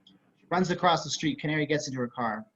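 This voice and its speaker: A man's voice: fairly high pitch, quick pace, fairly bright tone, slight background noise. A man reading scripted stage directions as narrator of an amateur table read on a dull-sounding video call.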